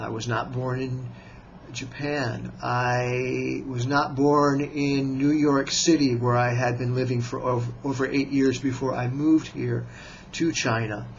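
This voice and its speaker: An American man in his fifties, a writer introducing himself on his video channel in a slow, measured way, with frequent hesitant pauses. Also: mid-range voice, level tone, vocal fry, dull audio, slight background noise. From United States. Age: 50s. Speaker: writer and vlogger. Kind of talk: self-introduction to camera.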